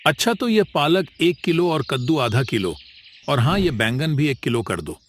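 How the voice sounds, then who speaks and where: A man voicing a hearty, chatty customer buying vegetables in a village, in an animated story. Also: a little high, normal speed, a little hiss or hum. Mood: pleased. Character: friendly male vegetable customer in a village street.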